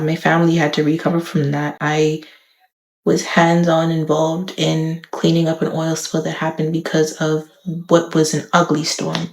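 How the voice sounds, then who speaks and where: A young woman from the Bahamas, talking to camera in a thoughtful, candid, measured way. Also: lowish voice, roomy sound. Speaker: vlogger. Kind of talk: reflective talk to camera.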